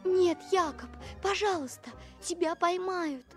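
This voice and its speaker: A woman's voice: very high-pitched, unhurried, soft background music. A woman playing a sweet, honest young princess turned goose in a cartoon, earnest and innocent. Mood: tender, worried.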